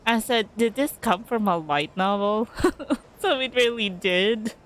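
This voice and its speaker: A woman's voice: on the high side, animated, a little slow. A woman giving casual commentary as she streams a video game.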